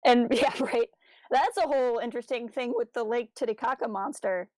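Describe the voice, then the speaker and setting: A woman's voice: a little high, moderate pace, darkish tone, no room sound, clean studio sound. A woman co-hosting a podcast, talking through ideas in a casual, discursive way. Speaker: podcast co-host.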